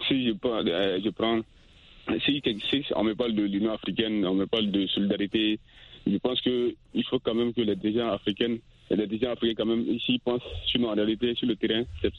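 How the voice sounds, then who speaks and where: A man calling a radio show, giving his opinion in halting, repetitive phrases. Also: on the low side, dry recording. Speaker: radio phone-in caller. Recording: tinny dry phone line.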